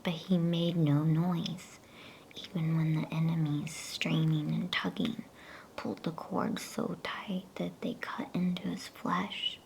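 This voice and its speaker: Slow, whispering woman reading a bedtime story. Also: low-pitched, flat delivery, vocal fry, a little hiss or hum, rough recording. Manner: hushed, soothing, unhurried.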